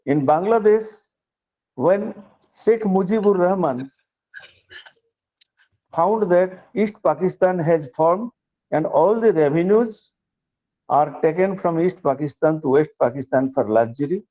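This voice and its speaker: An older man with an Indian English accent giving an earnest, measured acceptance speech, often restarting his phrases. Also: a little high, dull audio. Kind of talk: formal speech.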